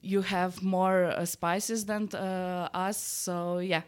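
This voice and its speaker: Interviewee: female teacher, Slovenian accent, smooth lowish voice, halting non-native English. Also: flat delivery. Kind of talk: unscripted interview answers.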